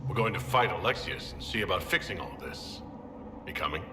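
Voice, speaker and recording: A man playing a cocky, resolute game hero with a blunt, deadpan manner. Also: sits low, fairly quick, background noise. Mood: matter-of-fact. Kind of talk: dramatic game voice acting. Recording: echoey hall, music behind.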